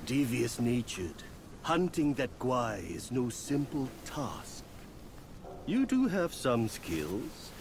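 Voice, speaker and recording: An actor playing a genial, proud, poetic creature in a video game, speaking in florid, archaic phrases. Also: male voice, medium pitch, creaky, heavily acted. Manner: florid, archaic, slow and boastful. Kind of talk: theatrical video game cutscene acting.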